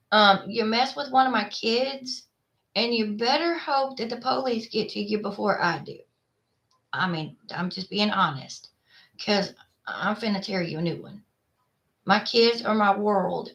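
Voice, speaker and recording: A woman's voice: mid-range voice, creaky, a bit bright. Texan-accented woman, middle-aged, blunt and rambling, casual solo chat. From Texas. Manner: rambling, frank, with many fillers and restarts. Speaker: vlogger.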